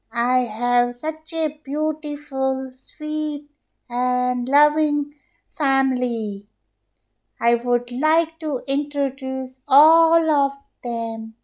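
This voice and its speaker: A woman's voice: sits high, darkish tone, lo-fi sound. A woman voicing a cheerful, friendly young puppet dog in a children's show, talking to little viewers in a sing-song, repetitive way. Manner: slow, sing-song, repeats questions to children. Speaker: puppet show presenter for toddlers. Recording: echoey room, music behind.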